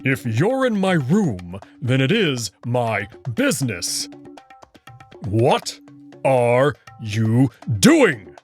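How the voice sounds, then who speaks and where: A man playing a proud, confident wolf-man noble in a visual novel, formal and deliberate in his wording. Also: medium pitch, huge pitch swings, faint music behind, heavily acted. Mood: angry, confrontational. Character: proud, confident male wolf-man noble, playful but hot-tempered.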